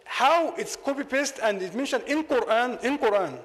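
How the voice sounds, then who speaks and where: Egyptian-accented imam, native Arabic speaker, high voice, argumentative lecture, echoing mosque hall. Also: male voice, lively intonation, moderate pace, fairly bright tone. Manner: argumentative, rhetorical questions. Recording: long hall reverb.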